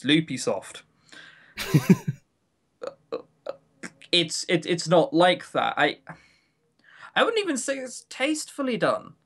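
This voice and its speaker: A man's voice: fairly high pitch, a bit bright. A man chatting casually as a podcast co-host, emphatic and enthusiastic, often joking. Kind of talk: casual podcast chat reviewing games.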